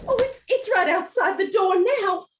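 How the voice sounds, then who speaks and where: A woman's voice: very high-pitched, a bit bright, slight background noise. A woman playing a fussy, commanding wife and mother, easily alarmed, in a theatrical radio play style. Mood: frightened. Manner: bossy, brisk orders, theatrical.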